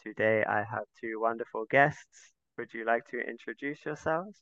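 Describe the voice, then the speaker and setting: A man hosts an interview podcast in a bright, forward voice with a gravelly creak. The recording is dry and close, and he introduces and questions guests. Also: mid-range voice, moderate pace, no room sound, lo-fi sound. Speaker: podcast host.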